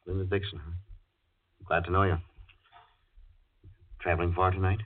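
A menacingly genial man, a stranger with a very deep voice. He talks in a folksy, insinuating, pushy way, as in a 1940s radio thriller. The recording is thin and tinny. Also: flat delivery, moderate pace. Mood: falsely genial. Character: smooth-talking sinister male stranger, falsely friendly, pushy. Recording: tinny, band-limited old radio recording.

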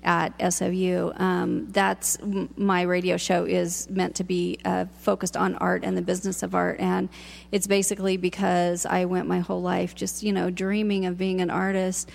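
A woman hosting a radio interview, chatty and enthusiastic, with a loud voice that projects. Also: fairly low pitch, completely flat delivery, moderate pace, creaky. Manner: enthusiastic, chatty, laughs often. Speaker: radio show host.

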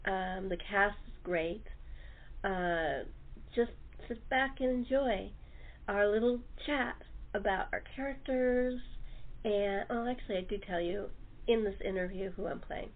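A woman's voice: mid-range voice, a little slow. A woman talk show host chatting casually and enthusiastically with her guests. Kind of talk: casual interview chat. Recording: tinny, narrow phone-line sound.

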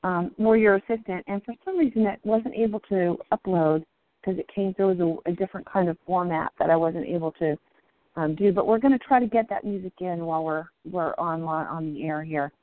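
A woman hosting a live indie music show, raspy from the flu, talking haltingly with many ums. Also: fairly low pitch, no echo. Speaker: radio show host. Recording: tinny phone-like line.

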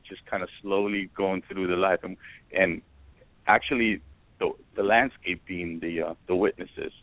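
A man's voice: low voice, dry recording. A man from Ecuador, a band founder, answering radio interview questions in a rambling, hesitant way with many fillers. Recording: tinny phone-like line.

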